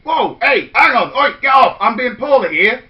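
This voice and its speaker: A man's voice: fairly high pitch, brisk, bright, forceful tone, dull audio. A man voicing a sly male big bad wolf who blusters through denials in a storybook tale. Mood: flustered.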